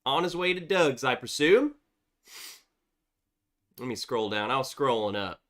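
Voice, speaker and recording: A man's voice: medium pitch, animated, measured pace, fairly bright tone. A man hosting a casual livestream, reading viewer chats aloud in a chatty, upbeat way, sounding congested from a cold. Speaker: livestream host.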